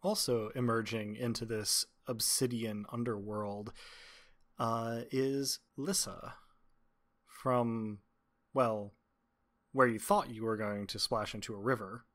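A man working as game master, narrating scenes in measured, literary phrasing with deliberate pauses. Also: on the low side, fairly even intonation, fairly bright tone. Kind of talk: descriptive tabletop game narration.

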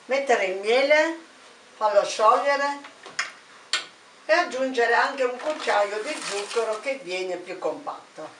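Older home cook, Abruzzo Italian accent, slow steady delivery, fairly high voice, heard from across an echoey kitchen. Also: female voice, a little hiss or hum. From Italy. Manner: slow step-by-step instructions. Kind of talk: cooking demonstration. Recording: echoey kitchen, distant mic.

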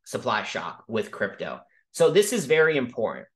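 A man casually teaching about markets over a video call, talking quickly and emphatically and often checking in with "okay?". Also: medium pitch, huge pitch swings. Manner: fast, emphatic, frequent "okay?" check-ins. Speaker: crypto educator hosting a Zoom call. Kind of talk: casual screen-share teaching.

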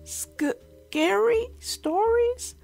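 A woman's voice: extremely high pitch, lively intonation, drawn-out words, gravelly, darkish tone, soft background music, voice pushed up, exaggerated voice. A woman voicing a nervous, fretful baby boy hippo in a children's storybook. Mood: frightened. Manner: hesitant, fretful.

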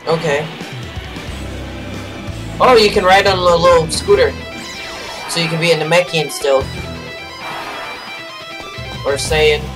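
A man's voice: on the high side, fairly even intonation, slow pace, long pauses, recorded from a distance. A young adult man giving casual live reaction commentary as a video host. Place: small bedroom, indoors. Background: trailer music.

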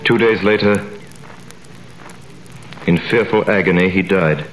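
A young earnest prisoner, low voice. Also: male voice, measured pace, music in the background, rough recording. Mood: grave narration. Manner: earnest, dramatic delivery. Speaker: narrator. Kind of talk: 1930s radio drama acting. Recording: old radio recording with faint hiss.

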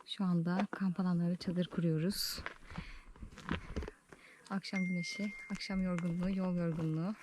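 A woman's voice: fairly low pitch, little pitch movement, moderate pace, long pauses, background noise. A woman vlogger chatting casually and playfully to the camera and her companion, with a giggly manner. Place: mostly outdoors at a village campsite.

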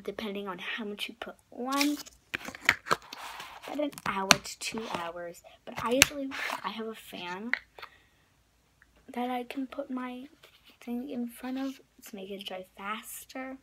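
A young woman talks through a craft project, her voice raspy and often dropping to a whisper. She rambles, corrects herself and leans on fillers. She sits close to a phone camera in a small bedroom, and the recording has a clear hiss under it. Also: fairly high pitch. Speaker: craft vlogger. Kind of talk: casual craft tutorial. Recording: noisy home recording.

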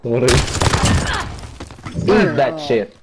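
A man's voice: medium pitch, animated, drawn-out words, heavy background noise. A man goofing around and giggling with friends during an online game. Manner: goofy, giggly. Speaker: gamer. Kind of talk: casual gaming banter.

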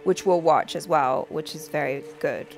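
A woman's voice: deep voice, normal speed, creaky, a little mellow. A young adult woman giving casual reaction commentary as a show host. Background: game soundtrack music.

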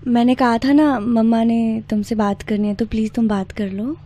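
A woman's voice: fairly high pitch, steady tone, normal speed, mellow. A young woman on a call, coaxing and pleading. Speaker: girlfriend on a call.

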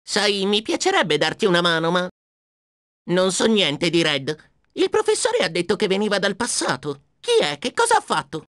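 A woman's voice: medium pitch, very animated, normal speed, a bit bright, no echo. A woman voicing a plucky, cheeky young male dragon hero in a video game, self-assured and wisecracking, close on a dry studio mic. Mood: casual, cheeky. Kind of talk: video game character acting. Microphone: close studio microphone, dry.

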